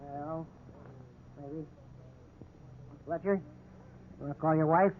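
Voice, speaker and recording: Tinny old radio recording, domineering cop, high-pitched voice, sarcastic, hard-nosed, 1950s crime drama. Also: male voice, fairly even intonation, slow, slight background noise. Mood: mocking. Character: bullying, cocksure veteran police officer bent on a conviction.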